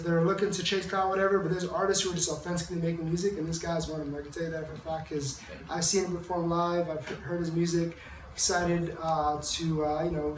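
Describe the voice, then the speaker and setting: Fast-talking young New host. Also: male voice, fairly high pitch. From Bushwick, Brooklyn, New York. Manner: upbeat, quick interjections. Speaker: interview host. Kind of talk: casual interview hosting. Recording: roomy sound from a distant mic with faint noise.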